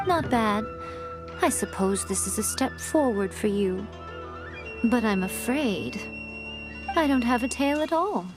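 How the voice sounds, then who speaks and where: A woman playing a teasing, cryptic, self-assured and intimidating lady in an anime-style video game. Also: a little high. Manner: sly, composed, mocking.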